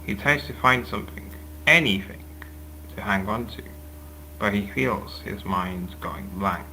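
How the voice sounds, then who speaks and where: A man's voice: fairly low pitch, measured pace, sharp tone, rough recording. A man reading scripted narration of a fantasy action story as its narrator. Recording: clear background noise and hum.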